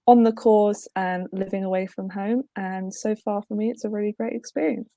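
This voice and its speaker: A woman's voice: medium pitch, fairly even intonation, moderate pace, warm tone, no room sound. A young woman from the south coast of England, a design student, speaking hesitantly with many fillers. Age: young adult.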